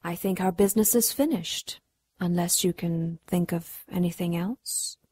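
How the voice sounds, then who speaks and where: A woman's voice: lowish voice, measured pace, dark tone, husky, no room sound, clean studio sound. A woman playing an imperious, seductive demon in a radio drama, haughty and menacing. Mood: silky, sly.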